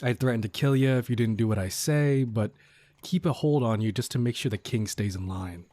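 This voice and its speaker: A man's voice: mid-range voice, brisk, warm tone, dry recording, natural voice. A man playing a cocky but considerate bandit leader in an audio roleplay, talking to the listener in a casual, folksy, wryly mock-courteous way. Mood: wry, conspiratorial.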